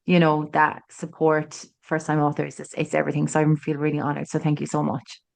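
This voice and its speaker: A woman in her late thirties with a County Kerry Irish lilt tells her story as a podcast guest. Her voice sits low, and she talks in a loose, chatty way, with plenty of "like" and "um" as she goes. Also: monotone, fairly quick, gravelly. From Ireland. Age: late 30s. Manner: chatty, warm storyteller with frequent "like" and "um". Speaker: guest author. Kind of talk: casual podcast interview. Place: studio in person with host.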